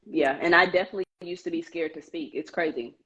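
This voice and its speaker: A young woman with a notably low voice, speaking as an interview guest. She tells her story earnestly and fills her pauses with "um" and "you know." Her audio is dull and lo-fi, as if coming through a video call. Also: a bit bright. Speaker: guest, Air Force member. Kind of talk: casual interview chat.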